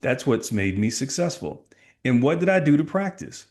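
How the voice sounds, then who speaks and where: A man's voice: medium pitch, very animated, normal speed, a little mellow. A middle-aged man from Texas, a sales coach giving emphatic, motivational answers in a podcast interview. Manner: emphatic, motivational, asks rhetorical questions.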